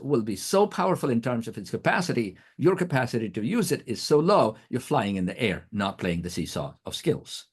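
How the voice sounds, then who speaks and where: A man's voice: mid-range voice, breathy edge. A middle-aged man whose first language is Nepali, giving an earnest academic talk, often restarting words, with a throat irritated by allergy. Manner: earnest, questioning, frequent restarts. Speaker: guest speaker, professor.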